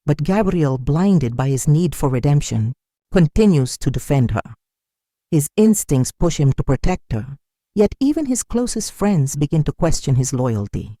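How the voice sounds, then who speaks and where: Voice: medium pitch, sing-song, normal speed, dark tone, dry recording. Solemn dramatic storyteller, dry studio sound. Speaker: storyteller narrator of a folktale. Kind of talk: dramatic scripted storytelling narration.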